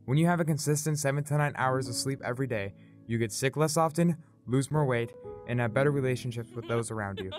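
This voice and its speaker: A man's voice: medium pitch, quick pace, faint music behind. A man reading a scripted public service announcement as an announcer.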